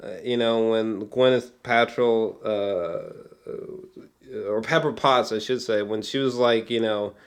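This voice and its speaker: A man's voice: on the low side, steady tone, slow pace. A man giving a casual, rambling film review with geeky, awed enthusiasm, often hesitating, trailing off and swearing casually.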